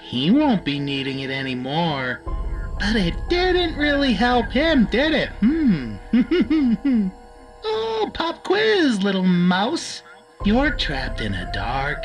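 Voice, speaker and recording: A woman voicing a gleeful, sadistic man-eating cartoon cat who toys with prey in a playful, taunting theatrical monologue. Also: mid-range voice. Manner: playful, taunting, drawn-out menace with sudden swoops. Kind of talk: theatrical villain monologue, character voice lines.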